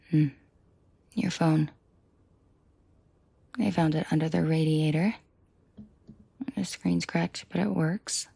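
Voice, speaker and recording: A woman playing a protective, commanding mafia boss in an intimate ASMR roleplay, speaking softly and often whispering, unhurried, with dry teasing authority. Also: on the low side, no room sound, heavily acted. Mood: cold, irritated, then gently reassuring. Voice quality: breathy, soft, often whispered.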